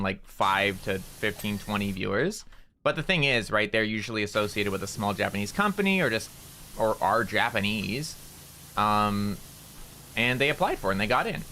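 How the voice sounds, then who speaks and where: A man's voice: on the low side, sharp tone, a little hiss or hum. A man streaming while he plays a game, chatting casually and rambling with plenty of "like" fillers. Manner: rambling, loose talk full of "like" fillers. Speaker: VTuber streamer.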